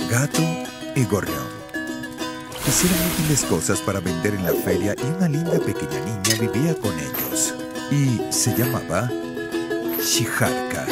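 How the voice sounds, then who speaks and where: A man playing the storybook narrator of a cartoon folk tale, telling it expressively for children. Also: on the low side.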